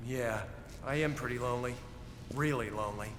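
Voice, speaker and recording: An actor playing a friendly, lonely Soviet prison guard in a cinematic game, earnest, hesitant and chatty. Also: male voice, mid-range voice, brisk, lightly acted. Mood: wistful, lonely. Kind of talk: cinematic video game acting. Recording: echoey reverberant cell, faint noise.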